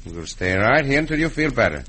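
An actor playing a confident, protective Mexican cowboy hero in an old-time radio western, with accented and formally phrased English. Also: male voice, mid-range voice, very animated, brisk, pushed and bright, slightly rough, full character voice. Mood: reassuring, resolute. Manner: formal phrasing without contractions. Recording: noisy vintage radio recording.

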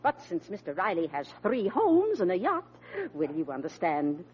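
A woman's voice: fairly low pitch, huge pitch swings, normal speed, a little hiss or hum. A woman playing a formal, refined and politely cool college dean in old radio comedy style. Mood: politely disapproving. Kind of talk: 1940s radio comedy acting. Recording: dull old radio recording.

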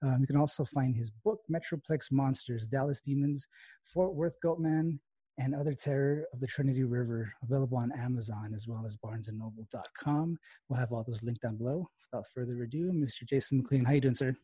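Mellow man hosting, filler-heavy. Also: mid-range voice, brisk, slightly rough, dry recording. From West Texas. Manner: loose, filler-heavy storytelling. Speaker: podcast host. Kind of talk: casual interview talk.

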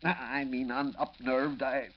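An actor playing a gruff, irascible middle-aged newspaper boss who blusters and sputters in 1940s radio drama style. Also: male voice, mid-range voice, flat delivery, normal speed, lightly acted. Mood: agitated, impatient. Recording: dull, noisy old radio recording.

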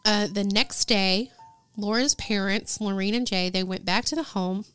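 A woman's voice: medium pitch, fairly even intonation, moderate pace, a bit bright. American woman narrating over faint music. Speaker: podcast host. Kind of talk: conversational true-crime narration.

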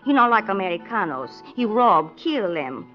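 A woman's voice: mid-range voice, very animated, close to an everyday voice. Actress as a coy Mexican cantina woman, old radio. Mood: uneasy, evasive. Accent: Mexican Spanish. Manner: coy, halting broken English. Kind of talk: 1940s radio drama acting. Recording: muffled 1940s radio recording, music behind.

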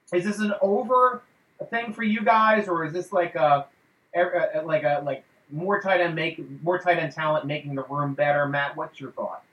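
A man hosts a relaxed fan talk show on a video call. He rambles through fillers and laughs between thoughts. His slightly high voice carries a roomy echo. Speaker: podcast host.

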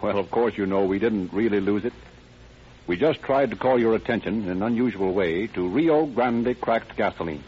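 A man's voice: on the low side, moderate pace, a little hiss or hum. A man with an American accent narrating and announcing a 1930s radio show in a polished, dramatic delivery. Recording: dull 1930s radio recording, music behind.

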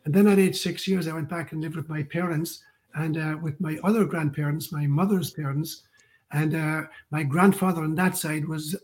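Older Irish man, fairly high even voice. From County Cork, Ireland. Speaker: guest author and priest. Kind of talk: conversational interview storytelling.

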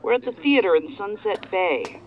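A woman's voice: medium pitch, lively intonation, normal speed. A woman playing a no-nonsense federal agent in an audio drama, matter-of-fact, heard through a phone or radio filter. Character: crisp, no-nonsense female federal agent reporting in. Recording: narrow-band phone or radio line.

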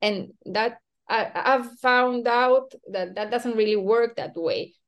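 A woman from Peru, an author interviewed about her book, speaking thoughtfully with frequent restarts, hedges and soft laughs. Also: on the high side, slow. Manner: hesitant, self-questioning, with many restarts and soft laughs. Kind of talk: thoughtful academic conversation.

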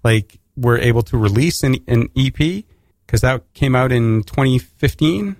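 A man's voice: medium pitch, unhurried, darkish tone, no echo, studio quality. A Canadian man hosting a casual radio interview, rambling with many restarts and fillers. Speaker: radio host.

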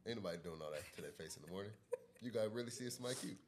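A man in his late twenties with a very low voice speaks casually and without hurry in tone, though his words come quickly. His pitch rises and falls widely as he answers questions in a relaxed, easygoing manner. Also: slight background noise. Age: late 20s. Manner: laid-back, Southern US slang. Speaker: interview guest, husband. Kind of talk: casual chat.

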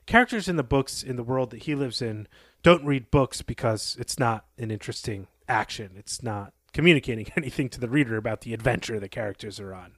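Male podcast co-host, mid-pitched, recapping fiction with commentary, very dry close sound. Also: a little fast, no room sound.

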